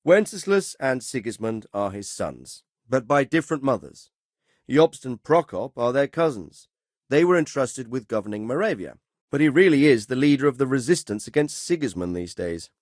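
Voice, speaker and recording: A man playing a measured, fatherly but stern middle-aged medieval lord in a video game, speaking formally and with authority. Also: medium pitch, very animated, a little mellow, no room sound, clean studio sound, lightly acted. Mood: measured, explaining. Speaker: lord giving orders and counsel. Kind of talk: dramatic video game dialogue.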